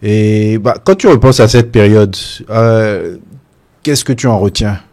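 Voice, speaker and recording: A man's voice: on the low side, animated, unhurried, darkish tone. A man hosting an interview, asking questions and backing up his guest with short replies. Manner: attentive, lots of short backchannels. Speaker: host, interviewer.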